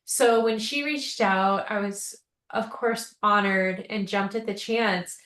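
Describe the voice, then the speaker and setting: Soft-spoken woman in an echoey room. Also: mid-range voice, steady tone, slow pace. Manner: tender, soft-spoken, grateful. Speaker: guest singer. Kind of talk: casual chat on a video call.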